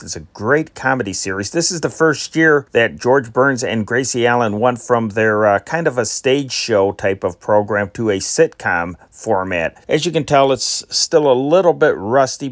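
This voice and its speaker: A man's voice: lowish voice, dry recording, studio quality. A man with an American accent hosting and introducing programs in an informal, partly scripted style. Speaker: host. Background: music.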